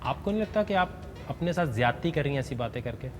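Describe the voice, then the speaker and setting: A man hosts a dare reality show in a fairly high, stern voice, with a mocking edge toward the contestants. Loud music plays under his speech throughout. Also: expressive, fast talker.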